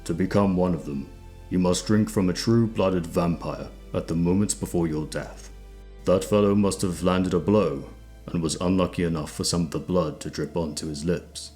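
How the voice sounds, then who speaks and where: Very deep-voiced knowing old hunter played by a man. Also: mellow, faint music behind, natural voice. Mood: grave, knowing. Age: older. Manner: measured, formal, knowing. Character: old pipe-smoking male vampire expert, measured, kindly. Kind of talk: audio drama character acting.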